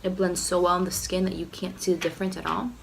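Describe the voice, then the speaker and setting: A young woman with a cold, talking through a casual makeup tutorial at home in a nasal, rough, low voice, filling her sentences with "like" and "you know". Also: level tone, fast talker, a little hiss or hum, low-quality recording. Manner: chatty, lots of "like" and "you know". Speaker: beauty vlogger.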